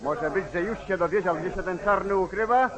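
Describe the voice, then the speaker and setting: An actor playing a crude, gossiping lynch-mob member, sneering and insinuating, in an old Polish radio drama. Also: male voice, high voice, a little fast, natural voice, low-quality recording. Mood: eager. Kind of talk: mid-century Polish radio drama acting. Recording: old 1940s radio recording, crowd.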